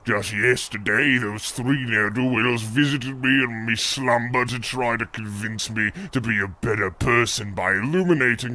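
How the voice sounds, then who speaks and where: Man acting a gruff, taunting old villain landlord. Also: mid-range voice, bright, forceful tone, close to an everyday voice. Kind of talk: parody of old-time radio acting.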